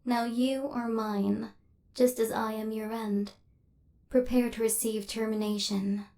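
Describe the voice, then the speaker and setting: A woman's voice: fairly high pitch, level tone, unhurried, dark tone. A woman voicing a female robot assassin in an ASMR roleplay, cold and relentless, with clipped, formal, mechanical delivery.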